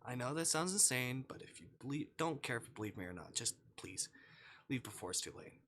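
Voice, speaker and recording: A man's voice: medium pitch, expressive, fairly quick, a bit bright, a little hiss or hum, lightly acted. A man voicing an anxious young male convenience store clerk in a visual novel, earnest, hesitant and pleading.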